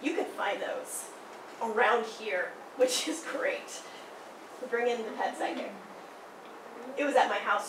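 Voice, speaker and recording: A young woman telling a personal story in a casual, animated way, acting out the people she quotes. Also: a little high. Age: young adult. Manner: animated, acts out quoted voices. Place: small indoor room by a theatre poster. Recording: roomy, distant, noisy.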